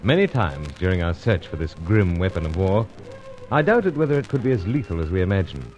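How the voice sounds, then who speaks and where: An actor playing a gallant, stubborn British secret agent in an old radio adventure serial, with clipped gentlemanly speech and a British accent. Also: male voice, low-pitched, sing-song, fairly quick, music in the background. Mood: grim, dramatic. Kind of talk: old-time radio adventure serial acting and first-person narration. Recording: dull, muffled old radio recording.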